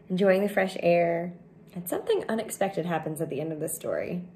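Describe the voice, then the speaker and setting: A woman's voice: lowish voice, darkish tone, a little hiss or hum. A woman in her thirties reads a children's picture book aloud at home, speaking simply and expressively to young listeners. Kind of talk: children's storybook read-aloud. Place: indoors, small room at home.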